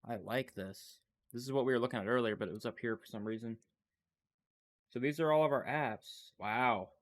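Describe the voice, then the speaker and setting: Stuffy-nosed man chatting through a demo. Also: medium pitch. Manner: thinking aloud, loose and unscripted. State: stuffy, congested from a cold. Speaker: tech channel host. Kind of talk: casual screen-recording commentary.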